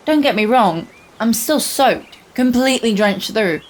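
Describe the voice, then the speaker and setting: A young woman playing an earnest, wistful girl explorer in a radio play, narrating in a measured, confiding, dramatic way. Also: fairly high pitch, lively intonation, slight background noise. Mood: wry. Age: young adult. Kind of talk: scripted first-person radio play monologue.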